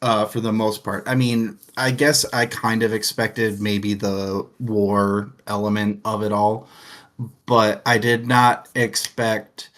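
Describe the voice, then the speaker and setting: A man's voice: on the low side, little pitch movement, slow pace, fairly bright tone. A young man co-hosting a casual book discussion show, rambling through his thoughts with frequent laughs and the odd crude joke. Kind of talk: casual chat.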